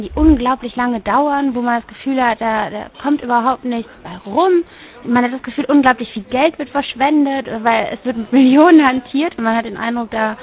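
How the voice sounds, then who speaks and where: Woman from Germany, quick, animated, fairly high voice, phone-like tinny audio. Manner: fast, animated, piles up examples. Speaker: interviewee. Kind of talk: lively interview answers. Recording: tinny, phone-like radio sound.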